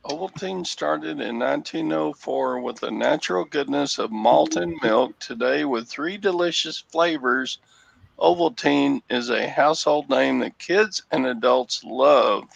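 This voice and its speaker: A man with a Texan accent chatting casually on a video call livestream, joking dryly and reading aloud in a flat way. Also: medium pitch, a little slow, gravelly, no echo. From Texas. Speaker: reseller guest. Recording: dull lo-fi video call audio.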